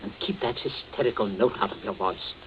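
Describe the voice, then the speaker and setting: A man's voice: lowish voice, fairly quick, natural voice. An actor playing a domineering, anxious middle-aged father in a radio drama, stern and pompous, giving clipped orders. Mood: stern, controlled. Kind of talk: 1940s radio drama acting. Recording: muffled, noisy vintage radio recording.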